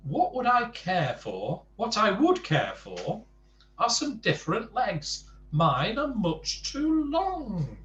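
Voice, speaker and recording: A lanky, miserable storybook man, put on by a male reader, moans slowly and mournfully, with his pitch rising and swooping in complaint. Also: wildly swooping pitch, a bit bright, echoey room, muffled recording, slight background noise, heavily acted. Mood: gloomy. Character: very tall, long-legged, gloomy storybook man.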